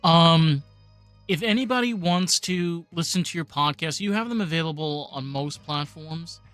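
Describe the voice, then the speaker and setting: A man's voice: on the high side, normal speed, a bit bright, faint music behind. A man with a New York accent hosting a podcast interview, blunt and opinionated. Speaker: podcast host. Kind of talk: casual interview chat.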